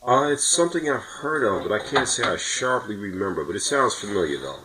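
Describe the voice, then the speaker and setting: A man chatting casually as a podcast co-host, halting and agreeable. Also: on the low side, very animated, pushed and bright, rough recording. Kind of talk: casual chat.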